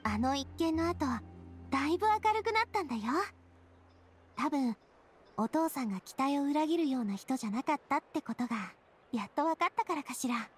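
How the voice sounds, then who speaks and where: Anime game heroine: elegant young boss, warm-hearted and sincere, high-pitched, animated delivery. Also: female voice, a little fast, a little hiss or hum. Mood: gentle, reflective. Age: young adult. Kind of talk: Japanese anime game voice acting.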